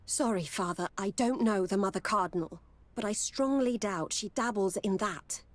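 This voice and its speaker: A woman's voice: medium pitch, moderate pace, dry recording, lightly acted. Woman as a guarded, world-wise game courtesan. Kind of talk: video game voice acting.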